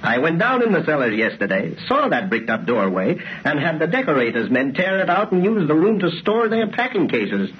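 A man's voice: a little high, sing-song, fairly quick, hardly any pauses. An actor playing a stubborn, blustery middle-aged father who folksily dismisses superstition in an old radio horror play. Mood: scoffing. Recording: dull, noisy old radio recording.

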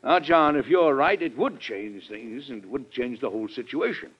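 A man's voice: mid-range voice, lively intonation, moderate pace, slightly rough. An actor playing a stern, exasperated middle-aged American president and father in an old-time radio drama, formal and emphatic. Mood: relenting. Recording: dull old radio broadcast recording.

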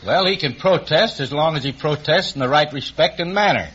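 A man's voice: fairly high pitch, fairly quick, fairly bright tone. An American man answering interview questions as a contestant, plain-spoken and matter-of-fact. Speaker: baseball umpire, show contestant. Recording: muffled old radio broadcast, clear noise.

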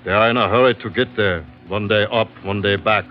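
An actor playing a gruff, businesslike Dutch sea captain in a radio drama, with clipped, foreign-sounding phrasing. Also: male voice, on the low side, fairly even intonation, a little fast, slight background noise, close to an everyday voice. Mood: blunt, businesslike. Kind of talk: 1940s radio drama acting. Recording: muffled vintage radio, faint music.

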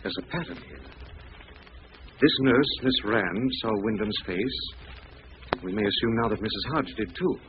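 An actor playing a brooding, deliberate amateur detective piecing clues together in an old-time radio drama. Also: male voice, fairly low pitch, animated, natural voice. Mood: grave deduction. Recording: thin, phone-like, noisy vintage radio recording.

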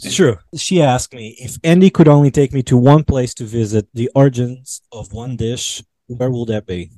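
A man's voice: mid-range voice, moderate pace, dark tone, low-quality recording. A man from Portugal hosting an interview, asking friendly, teasing questions in casual chat. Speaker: interview host.

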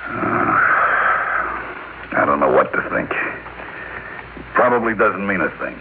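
A man's voice: deep voice, lively intonation, slow, noisy background. An actor playing a world-weary, defensive businessman brother in a vintage radio drama, with a wry, evasive manner. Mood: uneasy. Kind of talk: vintage radio drama acting with first-person narration. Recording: phone-like vintage radio, loud music behind.

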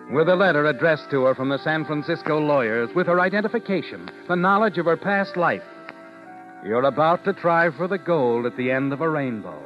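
A knowing storyteller from an old radio thriller. His voice sits fairly high, taunting and insinuating as it addresses the heroine. The recording is muffled and old, with clear music behind him. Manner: taunting, second-person storytelling. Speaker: narrator. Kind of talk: 1940s radio suspense narration.

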